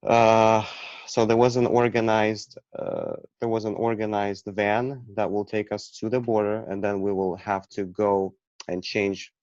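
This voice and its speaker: A man, talking as a guest about his own past in a halting way, with many restarts and fillers. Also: on the low side, level tone, darkish tone, no echo, dull audio. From Ukraine. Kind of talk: personal storytelling.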